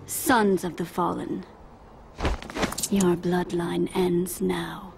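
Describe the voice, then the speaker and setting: A woman's voice: fairly low pitch, level tone, drawn-out words, gravelly, over music, heavily acted. A woman voicing a menacing, prophetic zealot in a solemn, declamatory way for a dramatic video game. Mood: solemn menace.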